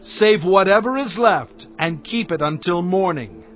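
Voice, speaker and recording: A man's voice: sits high, animated, a little slow. A man playing Moses as a stern leader, speaking in an earnest, emphatic way, on dull audio with faint music. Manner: earnest, emphatic preaching delivery.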